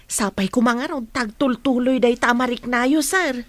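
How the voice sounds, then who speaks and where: A woman's voice: on the high side, measured pace, no room sound, natural voice. A woman playing an attentive, encouraging housekeeper and carer in a radio drama, with an Ilocano Filipino accent. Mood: respectful, encouraging.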